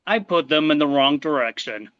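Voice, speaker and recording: A man co-hosting a podcast in a rambling, hesitant way with a dry humor. His voice sits a bit high and smooth, but his mic sounds slightly dull and lo-fi, close and dry. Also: expressive, normal speed, dry recording. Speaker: podcast co-host. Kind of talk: casual chat.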